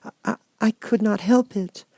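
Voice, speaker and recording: A man's voice: sits high, fairly even intonation, moderate pace, creaky, warm tone, no echo, studio quality, voice pushed up. A man voicing a small, meek young girl in a storybook, timid and earnest in her pleading.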